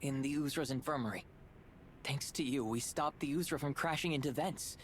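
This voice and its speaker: A man's voice: medium pitch, moderate pace, slight background noise, close to an everyday voice. A man playing an earnest, caring, impulsive young hero in a video game. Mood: gentle, concerned. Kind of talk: video game cutscene acting.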